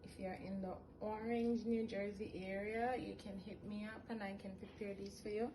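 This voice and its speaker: A woman's voice: medium pitch, flat delivery, normal speed, poor audio. A Jamaican woman with a Jamaican accent, chatting casually through a cooking vlog as she explains each step while filming on a handheld phone in her home kitchen. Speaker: home cook selling meals. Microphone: hand-held phone. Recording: kitchen phone recording with loud cooking noise. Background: loud boiling and cooking sounds.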